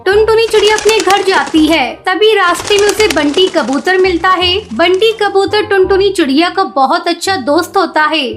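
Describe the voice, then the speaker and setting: A woman's voice: very high-pitched, normal speed, few pauses. A woman reading scripted narration for a children's story. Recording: music behind the voice.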